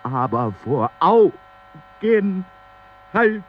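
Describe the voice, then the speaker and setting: An actor playing an agitated man in an experimental radio play, reciting in slow, broken phrases with wordplay. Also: high voice, sing-song, full character voice. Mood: raving. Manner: drawn-out, fragmented, word-play delivery. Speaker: radio drama performer. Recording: dull broadcast tape with mains hum.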